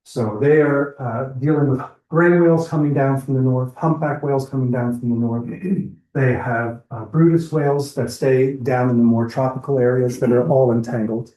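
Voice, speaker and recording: A man's voice: medium pitch, steady tone, normal speed, few pauses, mellow, reverberant, low-quality recording. A man giving a public lecture in a conversational, explanatory way, listing examples as he goes. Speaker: lecturer on whale entanglement response. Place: lecture hall.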